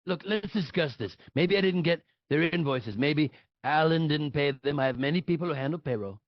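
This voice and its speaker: A man plays a defensive, self-important older politician in a comedy table read. He blusters and justifies himself in a somewhat high male voice. The recording is dry and a bit muffled. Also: moderate pace, no echo, natural voice.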